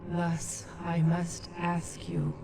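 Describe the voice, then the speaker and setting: An old, dying alien matriarch in a video game. She is serene and stately, speaking very slowly in a low creaky voice that echoes from a distance. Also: completely flat delivery. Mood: serene farewell. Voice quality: creaky, weakened. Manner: solemn, gentle, stately. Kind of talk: dramatic game voice acting. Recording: echoing, distant, noisy voices behind.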